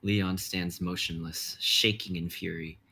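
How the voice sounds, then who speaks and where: A young man reading stage directions in a steady, measured way. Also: sits low, gravelly, slightly rough. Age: young adult.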